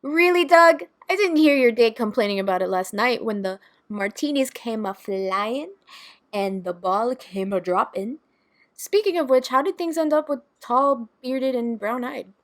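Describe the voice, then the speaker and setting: Actress doing a sassy carefree party girl in an audio drama, higher voice, casual and sweary, sarcastic. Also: animated, a little slow. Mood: teasing. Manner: casual, profane, sardonic. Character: brash, sarcastic, carefree young party-loving woman.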